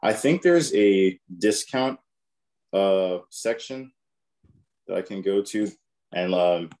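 A man's voice: deep voice, takes it slowly, a little mellow. A man giving a test prep presentation over a video call, hesitant and full of fillers and restarts.

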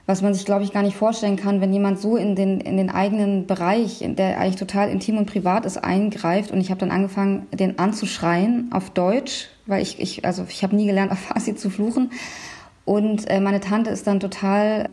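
Female interview guest from Iran, mid pitch, mellow warm tone, candid and animated. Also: brisk. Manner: candid, animated storytelling with fillers. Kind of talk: interview answers.

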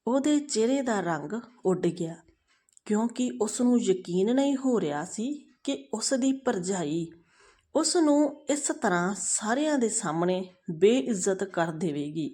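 A woman's voice: medium pitch, animated, a little slow, mellow. A woman narrating a moral family story as a storyteller.

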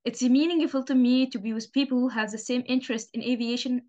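A young woman from Egypt reads a short scripted piece in an earnest, careful way. Her fairly high voice comes through a dull, lo-fi webcam. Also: fairly even intonation, fast, darkish tone. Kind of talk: scripted news presenting.

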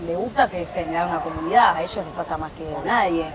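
A young Argentine woman talks casually into a lapel mic on an outdoor terrace. Her voice sits a little low, and she rambles with slang and restarts. Loud crowd noise fills the background. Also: fast. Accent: Argentine Spanish. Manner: chatty, many fillers and restarts, slangy. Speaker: guest. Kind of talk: casual chat. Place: outdoor terrace, seated at a table.

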